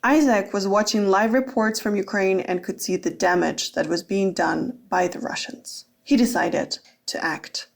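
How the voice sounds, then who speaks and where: A woman narrates a documentary podcast from a script. Her voice sits at a middle pitch for a woman, and she presents the story as its narrator. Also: steady tone, unhurried, vocal fry, few pauses.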